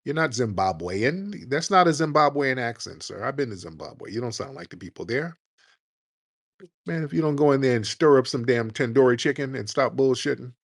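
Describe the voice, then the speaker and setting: Male call-in host, mid-pitched, taunting and confrontational, repeats "sir" as a jab. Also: lively intonation, normal speed. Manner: mocking, combative, repeats "sir". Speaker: live stream host.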